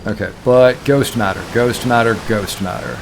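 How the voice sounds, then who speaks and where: A man's voice: mid-range voice, normal speed, creaky, noisy background. A man from Toronto with a Canadian accent streams a game in casual chat, thinking aloud and correcting himself, his voice tired. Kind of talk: casual let's-play chat.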